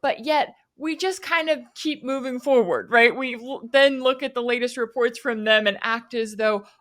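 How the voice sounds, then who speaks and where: A woman's voice: a little high, moderate pace, fairly bright tone. A woman with an American accent hosting a political interview, talking conversationally and articulately as she builds long questions. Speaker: interviewer, host.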